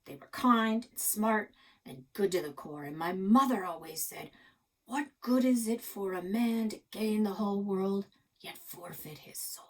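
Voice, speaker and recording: A woman reading a children's storybook, voicing a gruff, grumpy old grandfather with a folksy, plainspoken manner. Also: mid-range voice, a little slow, close to an everyday voice. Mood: proud, reminiscing. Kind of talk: children's storybook read-aloud.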